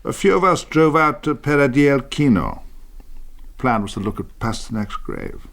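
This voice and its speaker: A man's voice: mid-range voice, expressive, normal speed, creaky, fairly bright tone. An actor playing a boozy, flippant British man telling his story under questioning in a radio drama, wry, clipped and theatrical.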